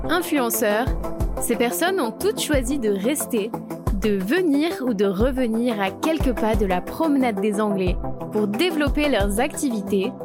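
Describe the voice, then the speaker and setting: A woman reading a podcast's scripted introduction in a smooth, even voice-over. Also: fairly high pitch. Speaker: podcast announcer. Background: music.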